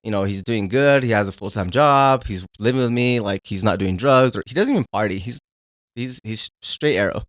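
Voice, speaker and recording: A man's voice: on the low side, a little fast, no echo, clean studio sound. A man in his thirties, a podcast guest, talking in a laid-back, candid way and swearing when riled. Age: 30s. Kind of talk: casual conversation.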